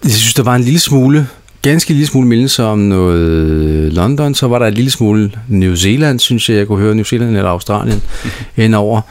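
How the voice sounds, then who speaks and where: A man's voice: fairly low pitch, a little fast, hardly any pauses, no echo. A man co-hosting a casual studio chat, blunt and casually swearing. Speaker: co-host.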